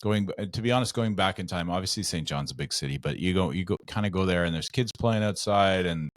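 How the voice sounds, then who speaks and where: A man co-hosting a casual interview podcast, talking in a relaxed, rambling, joking way. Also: low-pitched, fast talker, no echo, studio quality. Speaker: co-host.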